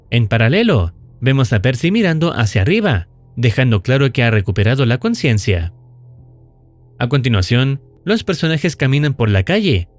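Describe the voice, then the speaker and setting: A male narrator delivers a scripted recap in an explanatory storytelling manner. His intonation moves around in a lively way while staying measured. Soft music plays underneath his voice. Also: mid-range voice. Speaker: narrator of video recap and analysis. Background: background music.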